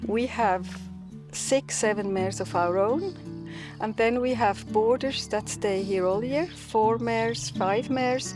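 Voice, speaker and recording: A middle-aged woman from Switzerland, a stud farmer, speaking thoughtfully and unhurriedly outdoors on her farm. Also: mid-range voice. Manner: thoughtful, unhurried, with small restarts. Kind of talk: documentary interview.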